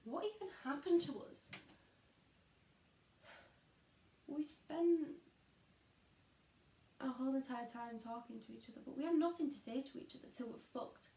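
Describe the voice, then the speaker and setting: A woman's voice: a little high, steady tone, normal speed, creaky, pauses often, warm tone. A woman playing a weary, disillusioned young schoolteacher in an introspective, confiding stage monologue. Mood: frustrated, despairing. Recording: echoey room.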